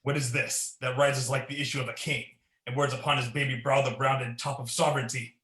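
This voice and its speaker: A man's voice: mid-range voice, flat delivery, brisk, fairly bright tone, natural voice. A young man playing an ambitious, guilt-ridden king in a Shakespearean radio play, declaiming in a commanding, theatrical way. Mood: confident, scheming. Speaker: student performer. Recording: echoey room.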